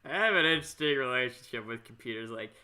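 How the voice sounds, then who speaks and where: A young man chatting casually as a podcast host, halting and often repeating his words. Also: medium pitch, lively intonation, bright, forceful tone.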